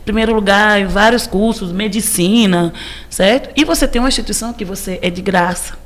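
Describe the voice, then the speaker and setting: A woman in her thirties from Cape Verde talks in a casual podcast conversation. Her pitch sits in the middle for a woman, and she speaks informally, often repeating a phrase to stress it. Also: a little fast. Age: 30s. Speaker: podcast guest, civil engineering teacher. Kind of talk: casual interview chat.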